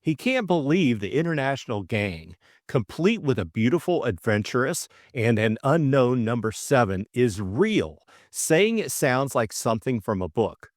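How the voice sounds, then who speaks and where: A man's voice: medium pitch, sing-song, a little slow, hardly any pauses, no echo, clean studio sound. A man reading a scripted story recap and novel as an audiobook co-narrator, in dramatic style.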